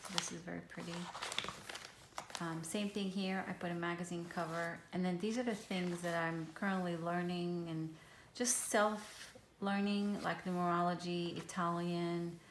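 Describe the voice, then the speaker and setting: Low-voiced woman, Hebrew native, echoey room. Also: unhurried. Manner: relaxed, rambling, frequent ums and restarts. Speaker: craft vlogger. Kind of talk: casual show-and-tell of a handmade journal. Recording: echoey room with faint hiss.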